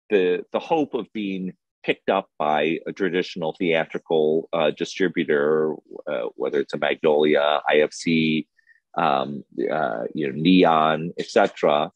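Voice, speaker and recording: A man speaking off the cuff as a panel guest, halting and feeling for his words. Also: very deep voice, no room sound, highs cut off. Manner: halting and hesitant, groping for words. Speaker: film festival programmer and panel guest. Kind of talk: unscripted panel conversation. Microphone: video call.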